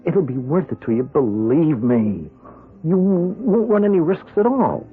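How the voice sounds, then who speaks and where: An actor playing a pushy, cocky young small-time hoodlum in a radio drama, with an American accent and a wheedling, needling, slangy way of talking. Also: male voice, on the high side, lively intonation, measured pace, breathy edge, narrow, tinny audio, full character voice. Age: young adult. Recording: band-limited radio drama recording with music.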